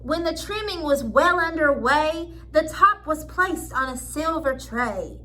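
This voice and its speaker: A woman reading a children's picture book aloud expressively, pausing for simple asides to listeners. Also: high-pitched, expressive, bright, forceful tone, poor audio.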